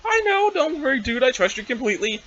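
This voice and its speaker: A man's voice: very high voice, sing-song, quick pace, a bit bright, dull audio, a little hiss or hum, heavily acted. A man voicing a cocky, sarcastic young female alien schemer with snarky, bossy talk in an amateur comic reading. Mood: mock innocent. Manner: snarky, bossy, casual needling.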